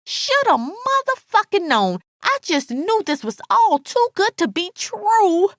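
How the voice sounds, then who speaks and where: A woman's voice: high voice, sing-song, bright, forceful tone, dry recording, studio quality, pitched above the performer's own voice, exaggerated voice. A woman voicing an enraged, betrayed, foul-mouthed woman in a dramatic audiobook, taunting and indignant, leaning hard on her words. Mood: furious. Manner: taunting, indignant, drawn-out emphatic delivery. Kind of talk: dramatic audiobook character dialogue.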